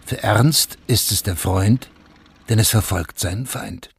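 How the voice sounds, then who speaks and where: An actor serving as the narrator of a radio crime drama, telling events in a measured, factual way. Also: male voice, lowish voice, gravelly, husky, heavily acted. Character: sober omniscient storyteller of a crime chronicle.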